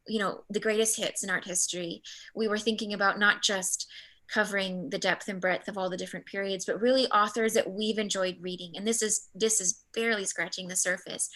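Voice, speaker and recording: A woman's voice: medium pitch, a bit bright. A middle-aged woman whose first language is Spanish gives a chatty workshop talk as art history faculty, with frequent restarts. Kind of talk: workshop presentation.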